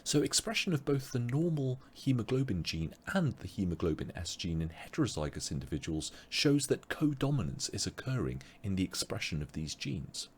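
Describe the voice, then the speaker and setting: A man teaching an online biology revision webinar, explaining step by step with frequent pauses, his voice a little strained as he loses it. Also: on the low side, very animated, slightly rough. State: losing his voice. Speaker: biology tutor.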